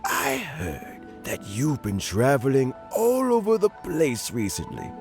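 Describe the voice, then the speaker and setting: A man playing a gentle, courteous older statesman in a video game, speaking in a measured, formal way. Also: mid-range voice, huge pitch swings, faint music behind, exaggerated voice. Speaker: game character.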